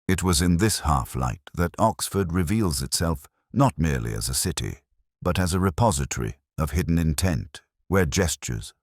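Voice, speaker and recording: Male actor, cerebral detective, extremely low voice, contemplative scripted mystery narration, measured literary delivery, dry close sound. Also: no echo, exaggerated voice. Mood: quietly watchful.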